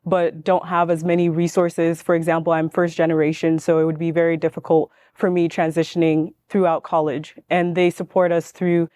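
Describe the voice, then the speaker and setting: A young woman speaks on a panel in a smooth, clear voice. Her pitch stays fairly level as she tells her own story informally. The sound is dry and close, with little room around it. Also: level tone, moderate pace, few pauses, darkish tone, no echo. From born in Ghana, grew up in Washington state, US. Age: young adult. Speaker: panelist, manufacturing engineer. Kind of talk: informal personal introduction on a panel.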